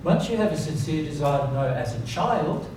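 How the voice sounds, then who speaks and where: A man's voice: on the high side, big pitch swings, moderate pace. Male seminar teacher in a very echoey room. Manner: emphatic, repeats key words, checks for understanding. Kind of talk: informal teaching to an audience, rhetorical questions. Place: reverberant seminar room with audience. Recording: very echoey, noisy room, distant mic.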